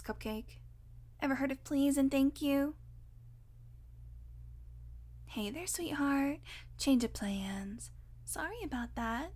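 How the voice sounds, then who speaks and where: A woman acts out a female vampire with a distinctly high voice. She is irritable and hungry, swearing freely and needling with sarcastic pet names. The delivery is intimate one-sided audio roleplay dialogue. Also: darkish tone. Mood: sardonic, teasing. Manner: sardonic, foul-mouthed, teasing with pet names.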